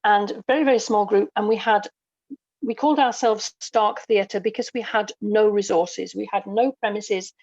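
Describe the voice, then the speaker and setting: A woman's voice: medium pitch, steady tone, moderate pace, darkish tone, dry recording, dull audio. A middle-aged woman guest, a writer and performer, telling stories conversationally in an interview. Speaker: guest, audio dramatist and performer.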